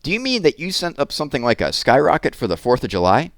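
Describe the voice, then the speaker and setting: A man's voice: mid-range voice, wildly swooping pitch, fast talker, fairly bright tone, no room sound, studio quality, voice pushed up. A man voicing a blunt, skeptical small-town policeman in a story read aloud. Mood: incredulous.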